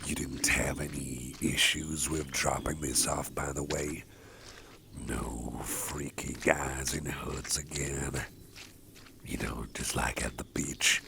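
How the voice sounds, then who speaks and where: A man playing a protective, soft-hearted boss in an ASMR roleplay, talking in soft, close whispers with the odd chuckle. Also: extremely low pitch, vocal fry, a little hiss or hum, full character voice. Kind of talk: ASMR personal attention roleplay.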